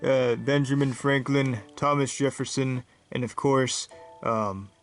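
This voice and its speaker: A man voicing a bumbling wizard who works as a judge, talking in a slow, rambling, absent-minded way. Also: medium pitch, fairly even intonation, faint music behind, lightly acted. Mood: pompous. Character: bumbling middle-aged male judge who is also a wizard. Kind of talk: comedic brickfilm voice acting.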